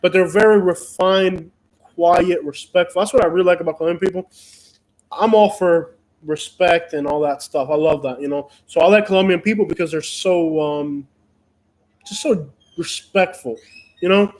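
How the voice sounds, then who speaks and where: A man's voice: high-pitched, moderate pace, a little mellow. A man from Cuba, hosting a casual live chat and rambling with frequent restarts and fillers. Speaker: host.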